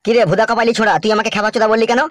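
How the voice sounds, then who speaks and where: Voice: mid-range voice, little pitch movement, rapid-fire, no room sound, clean studio sound, natural voice. A voice actor playing a naive, nosy, crude village man in a comedy cartoon, talking in a familiar, inquisitive way. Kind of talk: amateur Bengali comedy cartoon dialogue.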